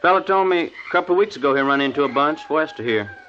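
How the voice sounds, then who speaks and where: A man's voice: fairly high pitch, lively intonation, fast talker, lightly acted. Man playing folksy frontier camp hunter, muffled. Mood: folksy, amused. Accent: rural American Western. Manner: drawling rustic grammar, chuckly. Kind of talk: 1950s radio western acting. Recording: dull old radio recording, faint noise.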